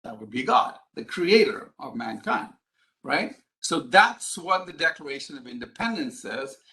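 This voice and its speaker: A man's voice: mid-range voice, big pitch swings, moderate pace, pushed and bright. A man from Ghana and the Middle East speaking to an audience in an explanatory, emphatic way, often posing rhetorical questions. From Ghana, Middle East and East Africa. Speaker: public speaker, advocacy group president. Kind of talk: live lecture to an audience.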